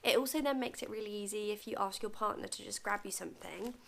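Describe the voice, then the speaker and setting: A woman's voice: mid-range voice, quick pace, gravelly, a bit bright. A young woman vlogging at home, talking to camera in a chatty, friendly way and giving practical tips. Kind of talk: casual advice vlog. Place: bedroom at home, indoors.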